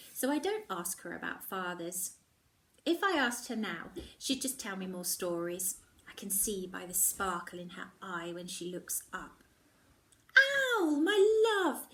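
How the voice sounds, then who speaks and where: Woman reading a storybook, slightly distant. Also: medium pitch. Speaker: primary teacher reading a children's novel aloud. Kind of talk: expressive storybook narration.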